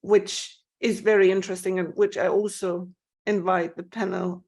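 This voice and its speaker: A woman moderating an online panel discussion, speaking in long, measured sentences. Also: medium pitch, level tone, darkish tone.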